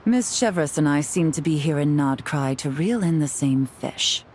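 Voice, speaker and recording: An actress playing a cool, shrewd, self-assured female agent in a video game, composed and measured. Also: low-pitched, animated, darkish tone, slight background noise. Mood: knowing. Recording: music behind the voice.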